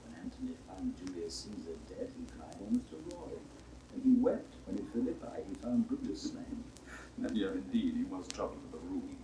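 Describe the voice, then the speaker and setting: A deep, wry Roman soldier. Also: male voice, sing-song, moderate pace, roomy sound, distant mic, background noise. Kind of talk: 1960s studio radio play acting.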